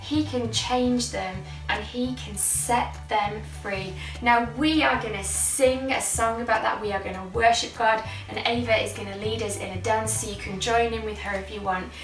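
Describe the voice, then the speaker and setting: A woman's voice: on the high side, steady tone, moderate pace, hardly any pauses. A woman leading children's Sunday school, explaining simply and asking lots of questions. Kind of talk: interactive children's Bible teaching. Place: indoor church studio. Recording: echoey room, distant mic, faint music.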